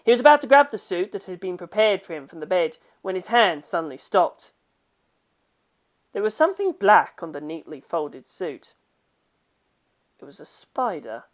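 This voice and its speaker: Female narrator, lowish pitch, scripted storytelling, dramatic audiobook reading. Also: big pitch swings, normal speed, long pauses. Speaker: audiobook narrator of fan fiction.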